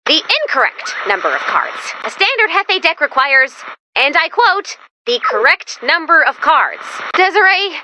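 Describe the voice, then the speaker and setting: Game role: neurotic brainy female robot, high-pitched and very lively, expressive, easily exasperated. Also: a little hiss or hum, heavily acted. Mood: exasperated, fussy. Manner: expressive, exasperated, quick to react. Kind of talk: animated video game voice acting.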